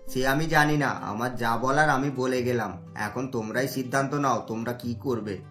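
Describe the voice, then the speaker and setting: A woman's voice: extremely low pitch, moderate pace, a bit bright, soft background music, pitched below the performer's own voice. A woman voicing a stern older male landlord who lends money and threatens a debtor with eviction, speaking in a cold, dismissive way.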